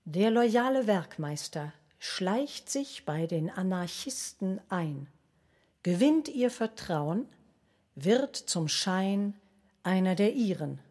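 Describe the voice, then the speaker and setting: A woman reading scripted narration in a terse, measured way. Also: medium pitch, mellow. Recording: clear music under the voice. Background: music.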